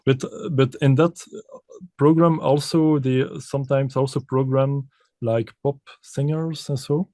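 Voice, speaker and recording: Belgian composer guy, keeps restarting sentences, dark soft voice. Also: mid-range voice, fairly even intonation, measured pace, dry recording. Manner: hesitant, many repeated words and restarts. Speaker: composer and pianist, interview guest. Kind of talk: casual interview answers.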